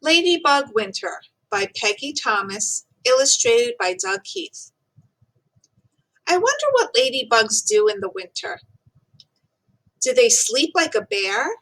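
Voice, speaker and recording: Female teacher for children's read-aloud: high-pitched, unhurried, expressive and deliberate. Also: poor audio.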